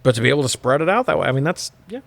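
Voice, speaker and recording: A man's voice: a little high, very animated, rapid-fire, creaky, dry recording. A man talking casually and informally as a podcast co-host, rambling through his opinions and swearing now and then. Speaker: podcast co-host reviewing movies. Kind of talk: casual unscripted discussion.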